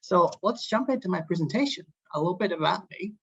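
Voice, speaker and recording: A man in his early twenties from Hong Kong gives an informal, hesitant student presentation on an online call, with frequent filled pauses. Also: sits high, lively intonation, gravelly, fairly bright tone, breathy edge. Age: early 20s. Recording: dull lo-fi online call audio.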